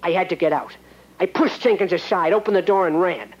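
A man's voice: high voice, a little fast, muffled recording. An actor narrating as an earnest, naive small-town male cop, melodramatic and jittery. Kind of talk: comic hard-boiled narration.

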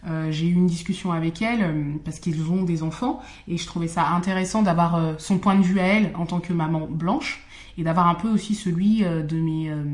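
A woman's voice: on the low side, a little mellow. A woman co-hosting a casual conversation, chatty, with easy laughs and many fillers.

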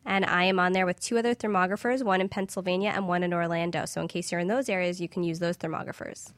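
A woman's voice: lowish voice, steady tone, fast talker, dry recording. A woman guest expert answering interview questions on a radio show in an explanatory, hedging way.

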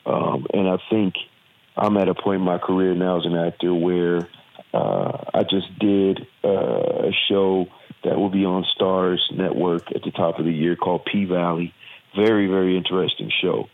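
A man with a Southern accent answers interview questions in an earnest, reflective way, pausing often on fillers. His voice sits very low. The sound is thin and phone-like, as if over a remote call. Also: gravelly. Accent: Southern American. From Virginia. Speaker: actor and former football player. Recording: tinny phone-like line.

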